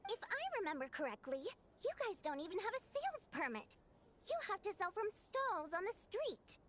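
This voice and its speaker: Jaded game insider, extremely high voice with lively swoops, dry and confiding. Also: female voice, normal speed, slight background noise, full character voice. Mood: dry, teasing. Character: jaded, gossipy female political onlooker.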